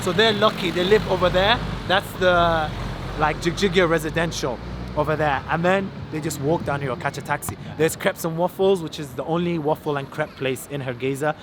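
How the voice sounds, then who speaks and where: A man's voice: on the high side, fairly quick. A man from the UK with a British accent, acting as a chatty, informal local guide out on the street. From United Kingdom. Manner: chatty, informal, lists and explains. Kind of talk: casual guided-tour chat. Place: outdoors on city streets and in vehicles. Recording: noisy outdoor street and traffic.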